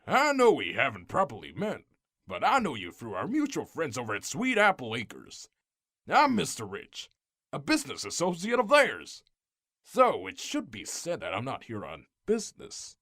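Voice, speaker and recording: A man's voice: mid-range voice, wildly swooping pitch, fairly bright tone, dry recording, full character voice. A courteous older stallion of business, acted by a man for a cartoon audio drama. He speaks formally and firmly with extremely mobile intonation, recorded close and dry. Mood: firm politeness. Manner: formal, measured.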